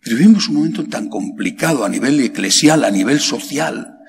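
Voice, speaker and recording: A middle-aged man from Spain, a priest preaching a homily slowly and emphatically, asking rhetorical questions and leaping up in pitch on key words, into a stand mic in a large chapel. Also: expressive, vocal fry. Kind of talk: sermon. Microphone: stand mic at lectern. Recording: long hall reverb, faint background hiss.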